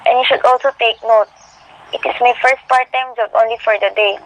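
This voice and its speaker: A woman's voice: fairly high pitch, fairly even intonation, fairly quick, close to an everyday voice. A woman playing a weary young working girl who narrates her life in a scripted first-person style. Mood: weary, resigned. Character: weary, orphaned eighteen-year-old working girl narrating. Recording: dull lo-fi recording.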